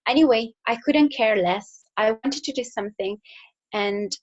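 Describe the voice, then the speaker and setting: A woman's voice: a little high, moderate pace, muffled recording. A young woman from Italy with an Italian accent, talking casually to school pupils, with fillers and restarts. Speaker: engineer. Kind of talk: recorded talk to school pupils.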